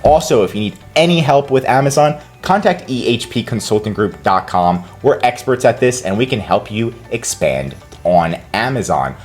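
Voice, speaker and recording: A man's voice: medium pitch, sing-song, moderate pace, few pauses, soft background music. A man hosting a tutorial and giving casual step-by-step instructions as a consultant. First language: English. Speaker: consultant and channel host. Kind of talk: screen-recorded tutorial walkthrough.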